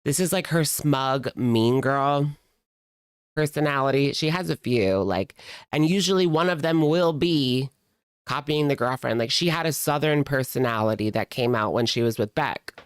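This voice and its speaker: A woman streamer reacts casually with snark and plenty of slang. Her voice is clean and smooth, set in a dry, close sound, and she drags out words to make a point. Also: extremely low pitch, no echo. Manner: snarky, slangy, drawn-out emphasis. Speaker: reaction streamer commenting on a video.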